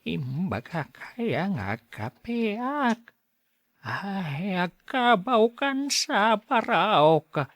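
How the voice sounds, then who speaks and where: A man acts as an elderly father in an audio drama, slyly feigning senility. His speech is slow and wavering, with big swings in pitch. He mutters along in a dry, close recording. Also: sing-song, fairly bright tone, no echo, full character voice. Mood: confused, fretful. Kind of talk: Ilocano audio drama acting.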